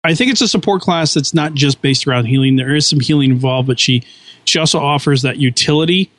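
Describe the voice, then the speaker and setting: A man's voice: a little high, fast talker, fairly bright tone, dry recording. A man, a podcast guest, explaining game mechanics in detail in casual podcast chat.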